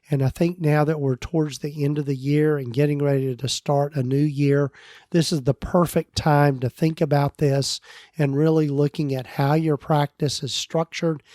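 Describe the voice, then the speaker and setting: A middle-aged man hosting a podcast alone, his voice going hoarse, talking loosely with self-corrections. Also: a little high, dark tone, dry recording, clean studio sound. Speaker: podcast host and practice consultant. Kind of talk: solo podcast talk.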